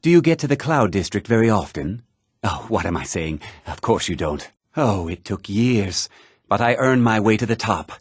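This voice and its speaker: A self-important townsman from a fantasy video game. His husky voice swings widely in pitch and is recorded close and dry. He talks with theatrical, smug condescension. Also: big pitch swings, moderate pace, no echo. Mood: smug, condescending. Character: haughty, self-important male townsman and merchant of a fantasy world. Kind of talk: video game character dialogue.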